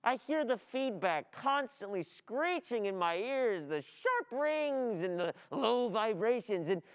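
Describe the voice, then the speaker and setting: A woman's voice: a little high, very animated, takes it slowly. A young woman acting an anxious, overwhelmed, easily distracted student in an agitated, rambling theatrical monologue. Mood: weary, overwhelmed. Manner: agitated, rambling inner monologue, repeating words. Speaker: actor playing a student. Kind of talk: theatrical radio-play monologue. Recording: long hall reverb of a stage.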